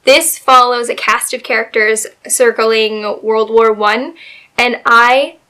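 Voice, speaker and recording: A woman getting over a cold, her voice a little raspy, chatting casually and gushing about books she loved, with lots of fillers. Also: on the high side, steady tone, slow, bright, forceful tone. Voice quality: slightly raspy. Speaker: book video host. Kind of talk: casual book review chat.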